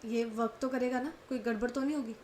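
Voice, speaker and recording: A woman voicing a distraught, indignant young woman in a told story, speaking high and shrill. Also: steady tone, fast talker, a little mellow, roomy sound, a little hiss or hum, close to an everyday voice. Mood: anxious. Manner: high-pitched, shrill outburst.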